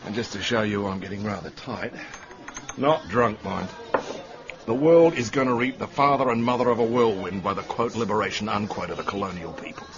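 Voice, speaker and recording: A man's voice: on the low side, a little fast, close to an everyday voice. An actor playing a brash, hard-drinking Australian intelligence man in a radio drama, blunt, slangy and jocular. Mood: drunken, belligerent. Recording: dull lo-fi radio broadcast copy.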